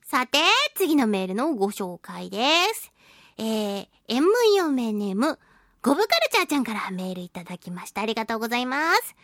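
A woman with a high voice hosts a solo radio talk show in a close, dry sound. She swings widely in pitch as she reads out mail and reacts to it. Her manner is chatty, giggly and playfully over-the-top. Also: very animated, a little fast, fairly bright tone, dry recording. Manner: playful, gushing, with exaggerated pleading.

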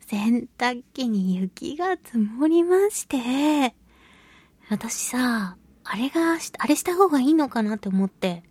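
A woman hosting a radio talk show on her own, chatting casually and telling stories in an animated, giggly, colloquial way. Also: a little high, slow pace, long pauses, breathy edge, dry recording. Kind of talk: casual solo radio chat.

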